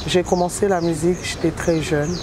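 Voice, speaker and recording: A woman in her forties with a Congolese French accent talks slowly and deliberately, with many pauses and hesitations. She is recorded outdoors on a city street, where birdsong and passing cars come through clearly behind her voice. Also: on the low side, little pitch movement, background noise. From Republic of the Congo. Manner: measured, with frequent hesitations and short phrases. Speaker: musician interviewed as guest. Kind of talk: interview answer. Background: birds and passing vehicles.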